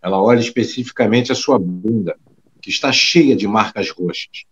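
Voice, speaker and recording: A man's voice: fairly low pitch, moderate pace, dull audio. A man reading scripted scene narration for an audio drama.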